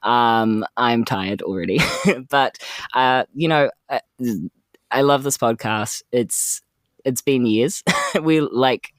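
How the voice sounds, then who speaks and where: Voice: mid-range voice, lively intonation, slow, no room sound. A podcast player, chatting casually and earnestly with a self-deprecating streak. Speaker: podcast player, arts postgraduate student. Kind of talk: casual, heartfelt chat.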